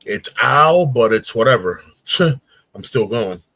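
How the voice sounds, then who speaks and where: Forty-something man telling lively stories. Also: mid-range voice, takes it slowly, dry recording. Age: 40s. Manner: lively storytelling, acting out grunts and voices. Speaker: guest. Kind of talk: casual chat on a livestream talk show.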